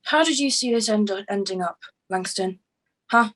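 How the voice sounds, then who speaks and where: A woman's voice: mid-range voice, lively intonation, normal speed, a bit bright. A woman playing a fierce, fed-up partner in a screenplay table read, emphatic and confrontational. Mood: furious, hurt.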